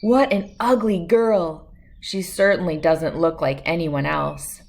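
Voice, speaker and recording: A woman voicing a haughty, disapproving wealthy mother from a storybook, exclaiming slowly as if scandalised. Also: lowish voice, gravelly. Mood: scornful.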